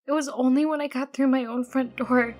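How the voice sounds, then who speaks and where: A woman's voice: high-pitched, fairly even intonation, a little fast, darkish tone. A woman narrating a scripted first-person horror story as an audiobook reader.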